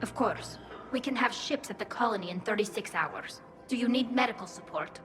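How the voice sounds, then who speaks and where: A woman's voice: a little high, fairly quick, music in the background, natural voice. Woman voicing an echoey, reflective young alien woman. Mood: businesslike, musing. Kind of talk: video game voice acting.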